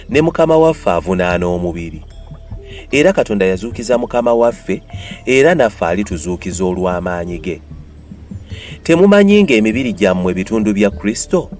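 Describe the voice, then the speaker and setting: A man with a Ugandan accent reads scripture in a dramatized style. His low voice moves widely in pitch as he declaims with emphasis. Music plays along behind him. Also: sing-song, fairly quick, darkish tone. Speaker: Bible reader.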